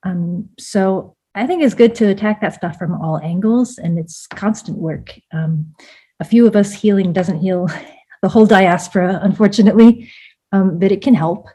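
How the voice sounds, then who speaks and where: A woman from Vietnam, an author talking through her thoughts in a relaxed interview, with lots of fillers and restarts. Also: medium pitch, moderate pace, husky. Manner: reflective, many fillers and restarts, laughs often. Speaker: author and guest. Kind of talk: casual interview conversation.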